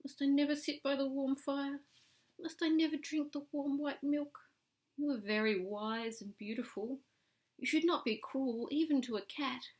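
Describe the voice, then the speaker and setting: A woman's voice: high voice, fairly even intonation, normal speed, pitched above the performer's own voice, lightly acted. A woman voicing a proud, wily, independent wild tomcat in a children's storybook, speaking in a declamatory, self-possessed way. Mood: pleading, flattering.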